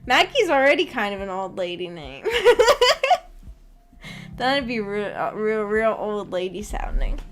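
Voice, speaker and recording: A woman's voice: mid-range voice, lively intonation, a little slow, a bit bright. A woman streamer chatting casually with viewers in a giggly, self-deprecating, rambling way. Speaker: VTuber streamer and host. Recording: background music behind the voice. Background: music.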